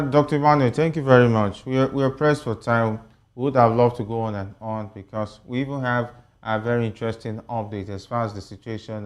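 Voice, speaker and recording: A Nigerian man hosts a televised current affairs panel in a fairly low voice. He speaks in a broadcast news style, steering guests and delivering updates to viewers. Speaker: TV host of a political panel show. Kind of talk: broadcast news discussion hosting.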